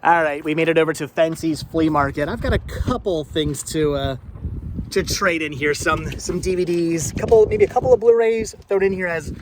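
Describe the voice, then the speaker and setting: A middle-aged man hosting a casual thrifting vlog, chatting haltingly and often repeating his words. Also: on the high side, slight background noise, rough recording. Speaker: vlog host. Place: out and about at flea market.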